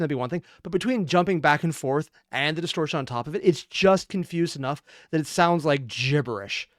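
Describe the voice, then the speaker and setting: A man's voice: a little high, no room sound, clean studio sound. A man giving casual, off-the-cuff reaction commentary. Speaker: reaction video host.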